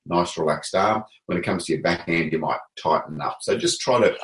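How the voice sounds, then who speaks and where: A man coaching table tennis in a casual, relaxed way, pausing often with fillers. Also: low-pitched, echoey room. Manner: relaxed, hesitant with many fillers.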